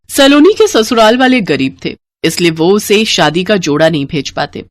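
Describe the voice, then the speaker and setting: A woman narrating a scripted moral story in an expressive, dramatic storytelling manner. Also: mid-range voice, no echo.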